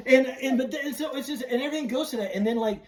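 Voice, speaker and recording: A man co-hosting a casual fan podcast about a film, effusive and stammering with excitement, often breaking into giggly laughter. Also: extremely high pitch, fast talker. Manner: repetitive, stammering excited delivery. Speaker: podcast host.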